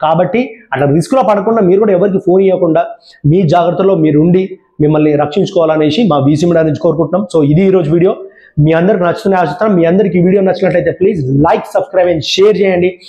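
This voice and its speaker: A man's voice: high voice, fast talker, warm tone, dull audio. A man presenting a public awareness talk, giving earnest, repeated warnings straight to viewers. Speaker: channel presenter. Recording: roomy echo.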